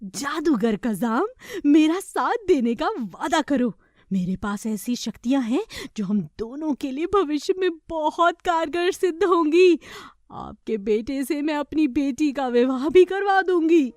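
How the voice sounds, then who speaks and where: A woman's voice: high voice, lively intonation, a little slow, darkish tone, no room sound, studio quality, voice pushed up. A woman voicing a scheming evil sorceress in a children's cartoon. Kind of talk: children's cartoon story acting.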